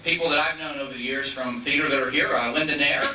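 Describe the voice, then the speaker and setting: A man's voice: a little high, fairly even intonation, quick pace. A man giving an informal, chatty curtain speech from a stage, thanking guests and helpers by name. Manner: chatty, addressing individuals in the audience. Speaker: station manager announcer thanking audience. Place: theater stage, recorded from the audience. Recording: distant echoey theater tape, loud audience noise.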